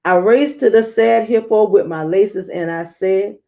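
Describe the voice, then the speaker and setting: A woman reading a rhyming children's picture book aloud, acting it out with theatrical emphasis and dramatic pauses. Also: medium pitch, gravelly, dark tone. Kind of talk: expressive rhyming storybook read-aloud.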